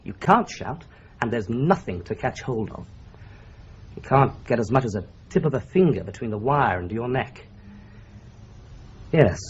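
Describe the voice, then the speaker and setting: A man plays a relishing, teasing police doctor whose voice swoops up and down as he lays out a murder method. His voice is of middle pitch and sounds slightly muffled, as on an old radio drama recording. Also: slight background noise, lightly acted. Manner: lively, teasing, demonstrative.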